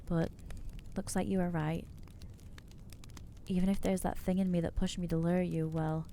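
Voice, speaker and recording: A woman playing an anxious, newly turned vampire in an ASMR roleplay, speaking in a hesitant, earnest, halting way with gentle inner conflict. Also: lowish voice, slight background noise. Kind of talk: ASMR roleplay acting, intimate monologue.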